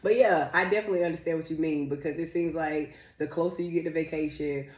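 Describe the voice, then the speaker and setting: Woman co-host, low voice, chatty and playful, slightly under the weather; echoey room. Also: fairly even intonation, darkish tone. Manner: chatty, fast-talking, playful teasing. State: recovering from a cold. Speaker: podcast co-host. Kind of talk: casual chat.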